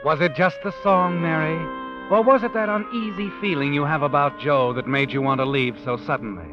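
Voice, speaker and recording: An actor playing a knowing, taunting narrator in a 1940s radio suspense drama, speaking directly to the heroine. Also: male voice, fairly high pitch, a little fast, natural voice. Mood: knowing, ominous. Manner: knowing, ominous. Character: omniscient, taunting male narrator addressing the heroine. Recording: dull vintage 1940s radio recording. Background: music.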